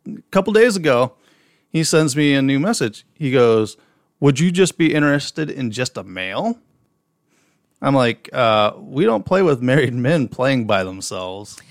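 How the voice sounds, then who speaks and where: A man's voice: mid-range voice, very animated, a little slow, no echo, clean studio sound. A man with an Upper Midwest American accent, co-hosting a casual podcast, telling stories and reading out listener messages, with some profanity. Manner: storytelling, reads out messages, profane. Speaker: podcast co-host.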